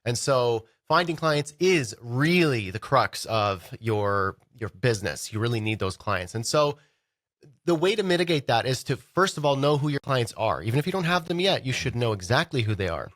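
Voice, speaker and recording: A man's voice: mid-range voice, very animated, normal speed, a bit bright, no echo. A man with a head cold, sounding congested, co-hosting a podcast in a studio and explaining ideas in a playful, casual way. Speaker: podcast co-host. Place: recording studio.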